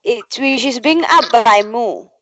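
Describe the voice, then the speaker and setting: A woman's voice: fairly high pitch, unhurried, background noise. A woman chairing an informal work meeting on a video call. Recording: dull video call audio.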